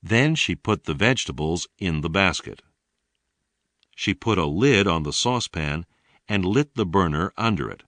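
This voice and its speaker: A man reading a scripted English lesson in a deliberate, clearly enunciated way. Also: low voice, expressive, fairly bright tone, no room sound. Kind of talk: scripted read-along narration.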